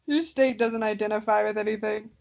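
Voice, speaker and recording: A woman's voice: medium pitch, a little slow, a little mellow, highs cut off. A young adult woman co-hosting a podcast in casual comedic banter, silly, teasing and giggly. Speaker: podcast co-host.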